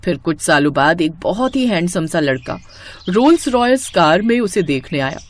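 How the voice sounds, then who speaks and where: A woman's voice: lowish voice, big pitch swings, moderate pace. A woman reading scripted storytelling narration for a cartoon tale.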